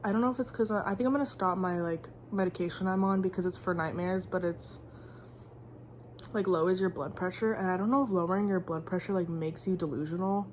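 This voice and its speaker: A woman's voice: fairly low pitch, steady tone, fairly quick, darkish tone, slight background noise. A young woman vlogging in casual, rambling chat, sniffly and full of "like". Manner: rambling, chatty, heavy use of "like".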